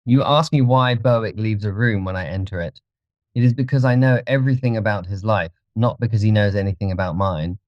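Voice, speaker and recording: A man voicing a petulant, haughty, world-weary young gentleman with languid contempt, in an audiobook. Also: fairly low pitch, fairly quick, no echo, lo-fi sound, pitched above the performer's own voice, natural voice. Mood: contemptuous. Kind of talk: audiobook character reading.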